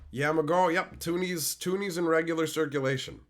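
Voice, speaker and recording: A man, hoarse from a cold, casually chatting with his live-stream viewers and swearing freely. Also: on the high side, normal speed, slight background noise. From Canada. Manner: loose, swears freely, answers chat by name. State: hoarse from being sick. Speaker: live streamer reading chat.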